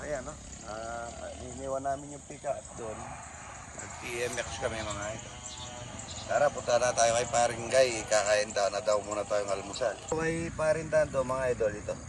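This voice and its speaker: A man's voice: medium pitch, normal speed. A middle-aged man with a Kapampangan accent touring his farm outdoors in a casual vlog, chatting to viewers as he walks. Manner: chatty, keeps calling viewers idol. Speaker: vlogger showing his farm. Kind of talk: casual walk-and-talk vlog. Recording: loud outdoor noise.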